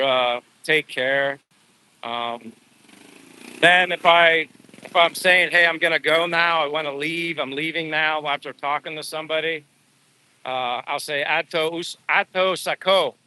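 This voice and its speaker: A man's voice: on the high side, sharp tone, clear, resonant tone, poor audio. A man hosting a livestream, chatting casually and ramblingly with his viewers in an informal, buddy-like way. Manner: informal, rambling, addresses viewers as bro and dudes. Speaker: livestream host. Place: outdoors, walking.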